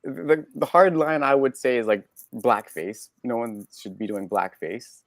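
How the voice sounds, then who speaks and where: A man with a Canadian accent, a podcast guest telling personal stories candidly, halting and correcting himself in an animated way. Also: mid-range voice, no echo, studio quality. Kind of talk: candid personal storytelling in conversation.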